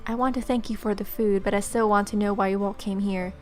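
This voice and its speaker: A woman's voice: medium pitch, fairly even intonation, quick pace, darkish tone. A young woman reading visual novel narration aloud as a let's player. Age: young adult. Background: game music.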